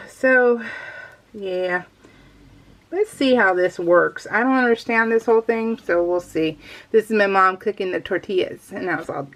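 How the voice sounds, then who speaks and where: A middle-aged woman talks in a casual, chatty voice-over for a craft vlog. She has a medium-pitched voice and a giggly, animated way of telling stories, often acting out what was said. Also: low-quality recording. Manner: giggly, animated storytelling with quoted exchanges. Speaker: craft vlogger.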